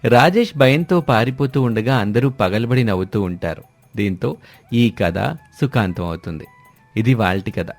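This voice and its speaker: A man's voice: fairly low pitch, moderate pace. A man narrating a scripted story. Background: background music.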